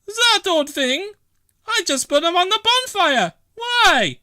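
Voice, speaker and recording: High-voiced, excitable cafe woman acted by a man. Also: takes it slowly, dry recording, studio quality, full character voice. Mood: dismissive. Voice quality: high falsetto. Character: excitable Italian cafe woman, brisk and carefree.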